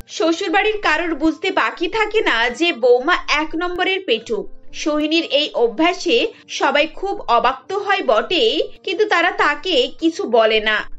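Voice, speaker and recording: A woman tells a cartoon story in a high, bright voice, reading steadily. The recording sounds dull and lo-fi, and music plays behind her. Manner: steady storytelling, acts quotes lightly. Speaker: narrator. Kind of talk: scripted storybook narration.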